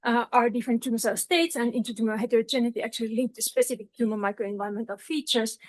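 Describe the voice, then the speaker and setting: A woman's voice: on the high side, no echo, rough recording. Finnish-accented woman giving a science talk. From Finland. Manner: careful, with frequent "uh" fillers. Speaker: research speaker.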